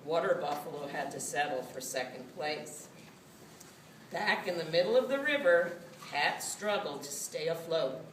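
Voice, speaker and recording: Woman, children's storyteller, expressive scripted narration, unhurried pace, echoey room with distant mic. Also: deep voice. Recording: roomy, distant mic, faint noise.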